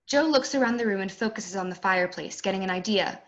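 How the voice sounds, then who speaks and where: A woman's voice: medium pitch, quick pace, highs cut off. A woman reading stage directions as scripted narration for a play reading.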